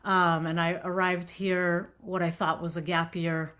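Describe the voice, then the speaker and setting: A woman from Israel with a faint accent speaks to a gathered group in a relaxed lecture. Her voice is bright and clear, and she talks in a chatty way, often pausing on fillers and restarting her sentences. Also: lowish voice. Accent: light Israeli. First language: Hebrew. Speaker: synagogue musician giving a talk.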